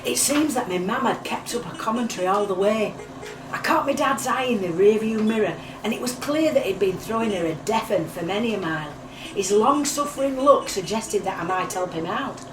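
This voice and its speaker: A woman's voice: medium pitch, expressive, a little fast, over music, natural voice. A woman playing a wry grown daughter, narrating a family trip in a radio play. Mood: wry, patient. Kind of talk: first-person narration and dialogue in radio play. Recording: distant, echoey, noisy ambience.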